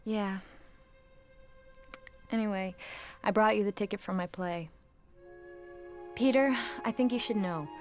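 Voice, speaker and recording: A woman's voice: medium pitch, measured pace, long pauses, a little mellow, faint music behind, lightly acted. An actress playing a bubbly young woman who teases and chats with friends. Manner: bubbly, teasing. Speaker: actress and model.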